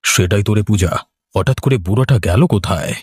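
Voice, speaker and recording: A man voicing an irritable, gruff village fruit seller in a cartoon ghost story. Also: fairly low pitch, brisk, a little mellow, no room sound. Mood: puzzled.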